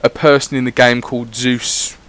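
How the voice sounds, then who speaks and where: A man's voice: mid-range voice, level tone, normal speed, fairly bright tone. A man giving casual, rambling voice-over commentary on his gameplay, swearing freely as he chats. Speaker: gaming YouTuber.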